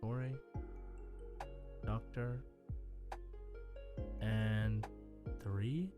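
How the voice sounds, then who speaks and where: Pria pembawa kuis podcast, diiringi musik. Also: male voice, lowish voice, steady tone, drawn-out words. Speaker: podcast co-host and quiz presenter. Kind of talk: casual game quiz chat. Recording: music playing behind.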